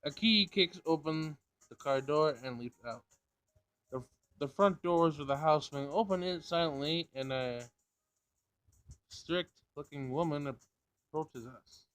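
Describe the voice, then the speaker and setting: Higher-voiced man reading game narration aloud. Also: takes it slowly, long pauses, a bit bright, clean tone. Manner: stumbles over written words and rereads. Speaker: gamer reading a visual novel aloud.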